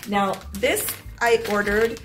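A middle-aged woman filming a casual unboxing vlog at home, upbeat and chatty, her voice slightly hoarse as if she is losing it. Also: mid-range voice, slow, a bit bright, soft background music. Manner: chatty and upbeat, clears her throat now and then. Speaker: vlogger. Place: small home room with stacked boxes, indoors.

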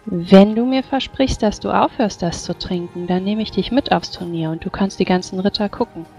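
A woman playing a tabletop game, improvising in character in a gentle, coaxing, hesitant way. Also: mid-range voice, moderate pace, vocal fry. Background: faint music.

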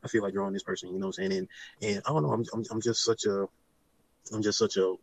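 Rambling, fast-talking, gravelly man. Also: fairly low pitch, steady tone, low-quality recording. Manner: rambling, full of "you know"; laid-back slang. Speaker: guest on a live stream. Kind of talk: casual late-night chat.

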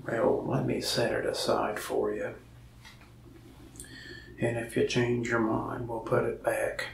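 Young male salesman, soft and half-whispered, very gravelly, mic a bit distant with faint hiss. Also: medium pitch, completely flat delivery, takes it slowly, reverberant. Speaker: ASMR creator playing a mask shop salesman. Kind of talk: soft-spoken ASMR roleplay. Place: indoors, small room in front of a wall of masks.

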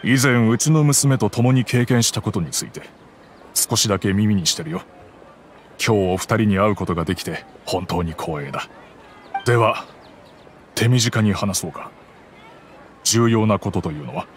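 A low, measured voice of a middle-aged wealthy gentleman, played by a male actor. He is grave, formal and guarded in anime game style. Faint outdoor ambience sits behind him. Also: slight background noise, natural voice. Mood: courteous.

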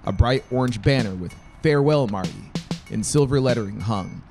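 A man's voice: fairly low pitch, fairly quick, gravelly. A man in his thirties reading scripted fiction as an audiobook narrator, close on the mic in a small room. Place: small brick-walled room, indoors. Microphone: close dynamic mic on boom stand. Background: faint music.

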